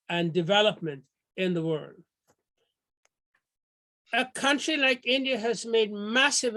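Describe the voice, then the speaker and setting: An older man from Guyana giving a measured, formal lecture over a video call, often restarting his phrases. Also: sits high, bright, forceful tone, no echo. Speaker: guest lecturer.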